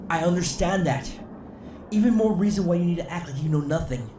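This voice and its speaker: An actor playing a cautious senior commander in a sci-fi audio drama, measured and authoritative. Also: male voice, high-pitched, lively intonation, darkish tone. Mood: stern. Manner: measured, firm. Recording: echoey room, clear ambient noise.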